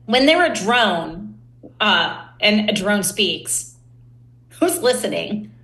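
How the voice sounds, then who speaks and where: A woman's voice: mid-range voice, sing-song, slow, fairly bright tone. A woman co-hosting a podcast, asking questions in a conversational interview style. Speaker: podcast co-host. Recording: roomy, echoey sound.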